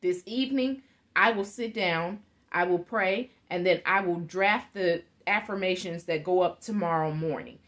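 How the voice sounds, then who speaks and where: A woman's voice: on the low side, moderate pace, a bit bright. A woman in her thirties giving an earnest motivational talk as a guest on a live video call from her living room. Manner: earnest, gestures while talking, laughs often. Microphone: webcam on a video call.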